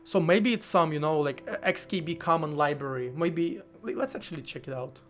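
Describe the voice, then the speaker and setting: A man live-streaming while he codes, thinking aloud in a halting way, with many fillers and restarts. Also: on the high side. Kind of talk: casual live-stream talk while coding.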